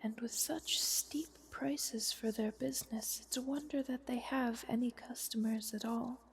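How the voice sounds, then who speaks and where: A woman voicing an ancient, haughty vampire countess in a soft, whispered fantasy ASMR roleplay, with a formal, measured, wry manner. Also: a little high, steady tone, gravelly, a little hiss or hum. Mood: guarded, coolly persuasive. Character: ancient, youthful-looking vampire countess, haughty, coolly bargaining.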